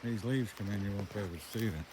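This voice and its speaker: A man's voice: on the low side, fast talker. An older man giving a casual, folksy walk-around commentary outdoors, thinking aloud in plain words as he goes. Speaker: vlogger showing his farm. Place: outdoors on a rural farm. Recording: very noisy outdoor amateur recording.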